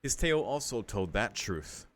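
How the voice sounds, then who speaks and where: A man's voice: lowish voice, sing-song, moderate pace, fairly bright tone. A man streaming a visual novel, reading the story text aloud and adding casual, musing commentary with some swearing.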